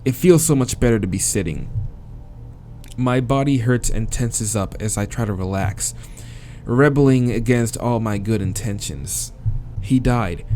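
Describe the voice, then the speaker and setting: A man's voice: medium pitch, mellow. A man reading visual novel narration aloud as a let's player, with casual, crude asides.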